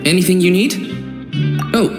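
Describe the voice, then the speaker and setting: A woman's voice: lowish voice, moderate pace, natural voice. A woman playing a matter-of-fact member of a small secretive club in a video game. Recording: loud plucked-string music under the voice.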